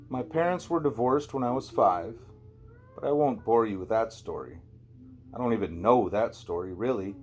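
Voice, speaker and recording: A man's voice: mid-range voice, darkish tone, a little hiss or hum. Man reading a novel aloud, conversationally. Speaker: amateur audiobook reader. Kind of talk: scripted narration of a first-person novel, read aloud.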